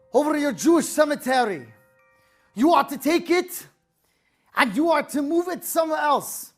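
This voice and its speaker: A woman voicing a loud, stern male governor shouting commands in a told story. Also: high-pitched.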